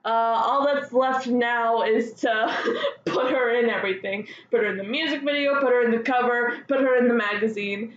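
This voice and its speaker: A woman hosting a fan news show solo, chatting casually with gushing, excitable enthusiasm. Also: fairly high pitch, moderate pace. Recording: dull lo-fi sound, roomy echo, faint noise.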